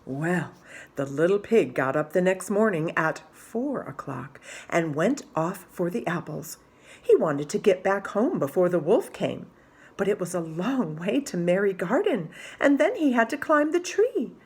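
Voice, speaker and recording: A woman reading a children's story aloud as an expressive narrator. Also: on the low side, measured pace, husky.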